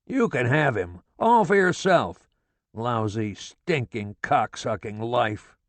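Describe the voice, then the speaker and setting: A man voicing a furious, foul-mouthed middle-aged father who rants and shouts curses at his family. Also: medium pitch, expressive, takes it slowly, no echo. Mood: furious tirade.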